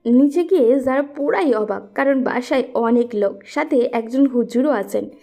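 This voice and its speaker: Mellow, higher-pitched woman narrating a story. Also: moderate pace. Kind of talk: scripted romantic story narration.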